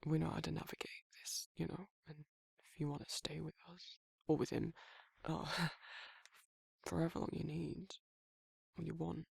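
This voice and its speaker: A man playing a protective, awkward but tender werewolf in an intimate ASMR roleplay, speaking in a hesitant, husky British murmur. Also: fairly high pitch, little pitch movement, dry recording, heavily acted. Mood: earnest, reassuring. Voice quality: husky, gravelly, breathy. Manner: halting, hesitant, self-correcting, softly murmured.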